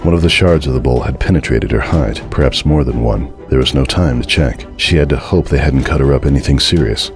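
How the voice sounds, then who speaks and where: Very deep-voiced man narrating horror. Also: brisk, hardly any pauses, over music. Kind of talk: dramatic horror story narration.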